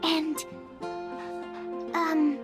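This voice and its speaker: A shy young alchemy assistant, high-pitched, polite and apologetic. Game music plays clearly behind her. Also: monotone, drawn-out words, exaggerated voice. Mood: timid. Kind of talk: video game cutscene dialogue.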